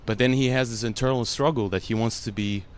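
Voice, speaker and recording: A man's voice: medium pitch, fairly even intonation, quick pace, a little hiss or hum. A man, an actor whose first language is Russian, answering a panel interviewer in an earnest, emotional way, full of "you know" fillers.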